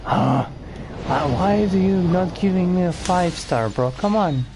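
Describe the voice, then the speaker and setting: A man's voice: high voice, expressive, unhurried, over music. A man giving casual game commentary as a streamer, blunt, profane and grumbling. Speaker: gaming streamer.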